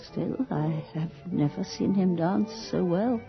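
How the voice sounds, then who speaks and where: Slow, haughty aristocratic hostess. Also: female voice, lowish voice, gravelly. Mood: scornful, fascinated. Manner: theatrical, haughty. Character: imperious, vivacious aristocratic lady hosting a ball. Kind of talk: radio horror drama acting. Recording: dull 1980s radio recording with music.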